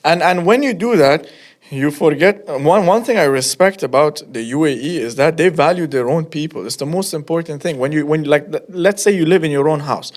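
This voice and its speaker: A man's voice: on the high side, fairly quick. A man hosting a podcast, talking in a conversational style as he discusses and asks questions. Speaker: podcast host.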